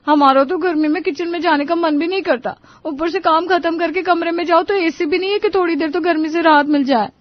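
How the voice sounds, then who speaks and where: A woman's voice: very high voice, steady tone, a little fast, hardly any pauses, no echo, lo-fi sound. Woman voicing an outspoken complaining young daughter-in-law, dry sound. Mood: protesting. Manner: complaining, argumentative. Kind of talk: Hindi cartoon story voice acting.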